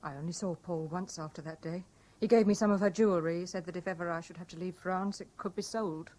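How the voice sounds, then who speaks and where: An actress with a British accent playing a defiant, pleading young lover, confessing emotionally in a radio drama. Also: female voice, on the low side, fairly even intonation, fast, darkish tone, lightly acted. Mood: confessional. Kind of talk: BBC radio drama acting. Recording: hissy 1970s radio broadcast recording.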